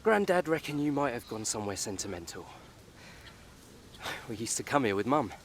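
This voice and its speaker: An actor playing a tipsy, sulky young son with hurt feelings in a radio soap. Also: male voice, medium pitch, sing-song, normal speed, fairly bright tone, slight background noise. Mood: subdued, resentful.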